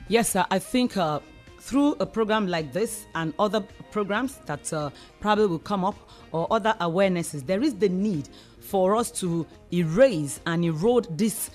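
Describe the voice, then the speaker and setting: A Nigerian woman with a medium-pitched voice speaks as a community advocate in a discussion. She explains earnestly and emphatically, restating phrases to drive them home. Music runs behind her. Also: lively intonation, normal speed, a little mellow. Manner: emphatic, repeats phrases for stress.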